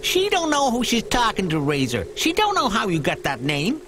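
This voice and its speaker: A woman voicing a feisty, excitable android gang member in a video game, goading in rough street slang. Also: on the high side, normal speed, slight background noise. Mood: goading, hostile. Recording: music behind the voice.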